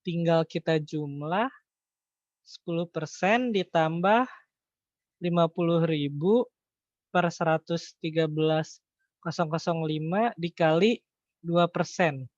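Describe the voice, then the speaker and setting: A man teaching an informal online lesson, thinking aloud through figures in a halting way and checking with his listeners. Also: on the high side. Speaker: accounting tutor. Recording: echoey room, dull lo-fi online-call audio.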